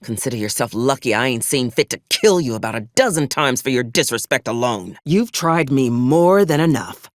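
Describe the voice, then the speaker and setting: A stern military acquisitions officer from a video game, played by an actor, pragmatic and coolly menacing. The delivery is clipped and formal with a sardonic frontier turn, moving widely in pitch. The sound is dry, with no room around it. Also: expressive, normal speed, few pauses, dry recording, natural voice. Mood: cold, threatening. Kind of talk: scripted video game dialogue.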